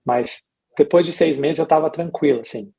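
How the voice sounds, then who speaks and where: A middle-aged man with a Brazilian Portuguese accent, speaking as an interview guest in a casual, reflective way, pausing and repeating himself as he recounts his experience. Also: a little high, creaky, mellow, slightly rough. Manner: reflective, hesitant with pauses and repetitions. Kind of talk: casual conversational storytelling.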